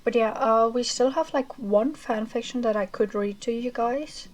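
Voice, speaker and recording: A woman streamer chatting casually with her viewers as she wraps up a livestream, rambling with fillers and a self-described monotonous voice. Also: a little high, normal speed. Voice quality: monotonous reading voice. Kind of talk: casual chat ending a livestream.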